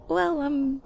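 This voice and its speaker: Woman acting as a shy, slow-talking ghost girl. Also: sits high, vocal fry, a little hiss or hum, heavily acted. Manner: hesitant, polite, chatty, giggly. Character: shy, curious young female ghost, newly arrived in the underworld. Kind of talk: audio roleplay, intimate conversational acting.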